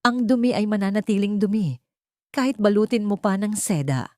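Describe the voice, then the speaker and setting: Woman narrator doing a mean bitter older aunt in an audio story, bossy and scornful, mellow dry sound. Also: medium pitch, animated, moderate pace, no echo, pitched above the performer's own voice, close to an everyday voice. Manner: scornful, commanding. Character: cruel, bitter, menacing older aunt of a rich household.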